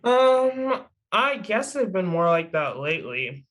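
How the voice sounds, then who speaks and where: A man's voice: high-pitched, sing-song, takes it slowly, fairly bright tone, heavily acted. A man playing a chatty, teasing young superhero in an amateur table read, with casual delivery. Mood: friendly, reflective.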